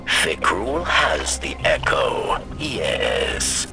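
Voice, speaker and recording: An actor playing a wry, philosophical alien spymaster in a video game, speaking in measured, cryptic phrases. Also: male voice, rumbling low, huge pitch swings, creaky, music in the background, exaggerated voice. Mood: wry, musing. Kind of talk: video game mission dialogue.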